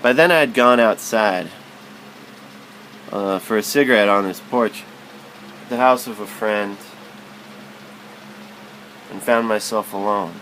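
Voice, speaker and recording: A man's voice: lowish voice, measured pace, pauses often, a bit bright, slight background noise, rough recording. A man reading literary prose aloud as spoken word, in a musing, philosophical manner.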